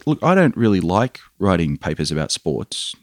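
A man's voice: low-pitched, very animated, normal speed, soft background music. A man with an Australian accent explaining his research conversationally as an interview guest.

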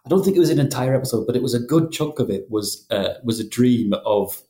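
A man's voice: medium pitch, lively intonation, fast, warm tone, roomy sound. A man with a slight Geordie accent, co-hosting a casual news podcast, talking in a chatty way with frequent restarts. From North East England. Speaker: podcast co-host, journalist.

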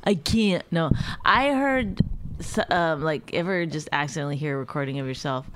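A woman's voice: low voice, fairly bright tone, a little hiss or hum. Sarcastic woman bantering on a podcast. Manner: sarcastic, teasing, quick comebacks. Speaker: podcast co-host.

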